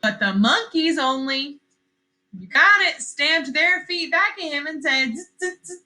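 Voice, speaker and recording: A woman teacher reading a story aloud to young children, expressive and questioning, repeating phrases for emphasis. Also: high-pitched, measured pace.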